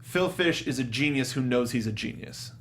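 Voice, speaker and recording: A man talking casually as a show panelist, telling things in an animated way and acting out quotes. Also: medium pitch, normal speed, slight background noise. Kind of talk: casual film and game discussion.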